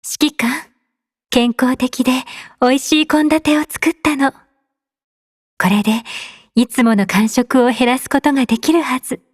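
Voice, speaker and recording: Refined, caring young game heroine: gentle and devoted, soft graceful phrasing, raised pitch, very dry sound. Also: female voice, fast, pauses often, no room sound. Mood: warm, caring. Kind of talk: scripted Japanese game character voice lines.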